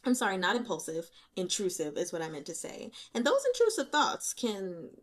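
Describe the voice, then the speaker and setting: A woman hosting a reading channel, talking through her notes in a casual, candid, colloquial and animated way. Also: medium pitch, normal speed. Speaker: host of a book reading channel. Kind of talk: casual chatty book commentary. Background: rain.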